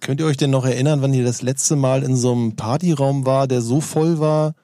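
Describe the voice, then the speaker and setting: A man in a casual chat, musing in a reflective, halting way. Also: medium pitch, fairly even intonation, mellow, dry recording, studio quality.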